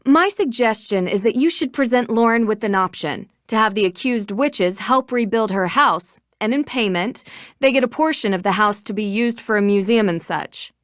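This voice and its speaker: A woman playing a poised young female amateur detective in a video game, speaking with an American accent in a measured, articulate, matter-of-fact way. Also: a little high, expressive, dry recording, clean studio sound, natural voice. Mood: earnest, explaining. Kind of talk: scripted game dialogue.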